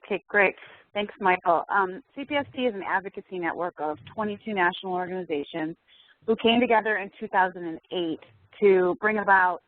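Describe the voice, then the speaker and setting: A woman representing an advocacy coalition, giving a measured overview with "um" fillers. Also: mid-range voice, no room sound. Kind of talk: prepared overview on a webinar. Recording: tinny phone line.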